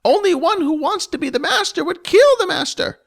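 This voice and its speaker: A man voicing an anxious male goblin leader who talks about himself in the third person, shrill and insistent. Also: animated, fairly quick, gravelly, a bit bright, heavily acted. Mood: shrill alarmed.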